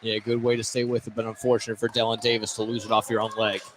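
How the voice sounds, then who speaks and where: A man's voice: on the low side, monotone, fast, fairly bright tone. A man giving short, conversational reactions and analysis as color commentator on a live basketball broadcast. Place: indoor basketball gym. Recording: noisy live gym ambience.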